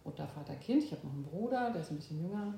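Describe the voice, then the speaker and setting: A woman in her fifties from Berlin, a guest in an interview, who talks thoughtfully with pauses and fillers. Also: low-pitched, animated, creaky, mellow, a little hiss or hum. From Berlin, Germany. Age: 50s. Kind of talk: oral history interview. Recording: echoey room.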